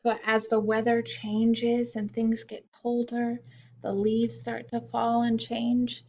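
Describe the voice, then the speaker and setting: A woman reading a children's picture-book poem aloud in a slow, gentle storytime manner with soft hushed asides. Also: on the high side, completely flat delivery, muffled recording. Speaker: storytime reader.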